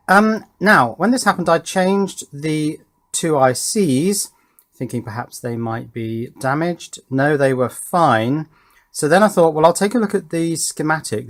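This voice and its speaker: A man's voice: a little high, fairly bright tone. A man presenting a hands-on electronics demonstration in a casual, wry, understated way with dry humour.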